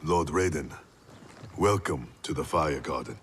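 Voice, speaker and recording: Extremely deep-voiced ninja grandmaster. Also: male voice, a little slow, a bit bright, slight background noise, exaggerated voice. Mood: solemn welcome. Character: dignified male ninja grandmaster, welcoming host of clan.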